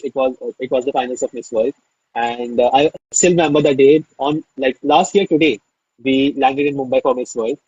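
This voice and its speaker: A man hosts a live interview over a video call, gushing with enthusiasm and leaning on fillers. His voice is a bit husky, and the sound is dry and slightly dull. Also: medium pitch, a little mellow, dry recording. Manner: enthusiastic, repeats "amazing", filler-heavy. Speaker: interview host.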